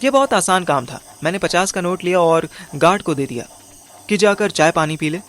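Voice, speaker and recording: A man's voice: high voice, lively intonation, moderate pace, a bit bright. A man playing a wisecracking, haggling young amateur detective who narrates an audio story with slangy, sarcastic banter. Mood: storytelling. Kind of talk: first-person audio story narration with acted dialogue.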